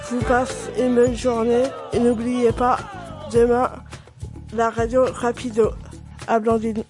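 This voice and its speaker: Flat, halting woman radio host. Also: a little high, over music. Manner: halting, restarting sentences. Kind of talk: amateur radio presenting.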